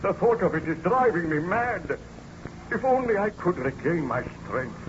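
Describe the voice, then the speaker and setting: An obsessed baron scientist, wildly swooping voice. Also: male voice, high-pitched, very animated, normal speed. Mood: anguished. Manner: theatrical, declamatory. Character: obsessed, weary male baron scientist, feverish and defiant. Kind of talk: 1930s-40s radio serial acting. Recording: muffled, noisy old radio recording.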